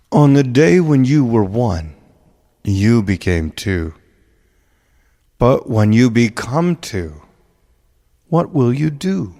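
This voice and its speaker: Slow, gravelly man reading scripture in deep reverb. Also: dark tone. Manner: measured, deliberate, dramatic phrasing. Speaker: reader of a spiritual text. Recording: long cavernous reverb.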